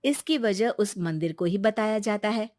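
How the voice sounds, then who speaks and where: A woman's voice: mid-range voice, normal speed, darkish tone, no echo, studio quality. A woman narrating a scripted story as its storyteller.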